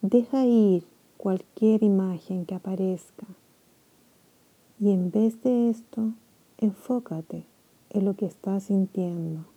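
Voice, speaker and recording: A woman leads a body-scan meditation for sleep. Her voice is deeply mellow and warm, and she moves very slowly, letting phrases hang with long gentle pauses. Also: low-quality recording. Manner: slow, soft, soothing with long pauses. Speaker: meditation guide.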